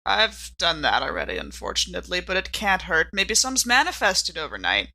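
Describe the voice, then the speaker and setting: A woman's voice: fairly low pitch, very animated, normal speed, vocal fry, bright, forceful tone. A woman playing in a tabletop audio drama, bantering in a wry, chatty way. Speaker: player in an actual-play game. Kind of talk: casual in-character tabletop banter.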